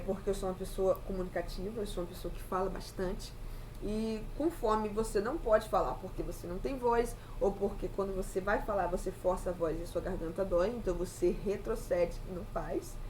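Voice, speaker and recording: A woman's voice: mid-range voice, warm tone, a little hiss or hum, low-quality recording. A young woman talking to her viewers in a casual, reflective monologue, chatty and often adding tag questions. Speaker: vlogger.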